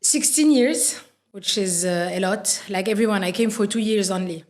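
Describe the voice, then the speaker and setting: A woman from Lebanon, a podcast guest telling animated stories and laughing easily. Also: medium pitch, normal speed. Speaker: guest, radio sales professional. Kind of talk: podcast conversation.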